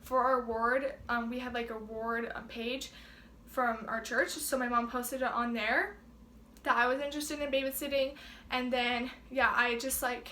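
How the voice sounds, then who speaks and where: A woman's voice: on the high side, steady tone, measured pace, sharp tone, slight background noise. A teenage girl chatting casually as co-host of a video, full of filler "likes". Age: teens. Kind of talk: casual chat. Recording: echoey room.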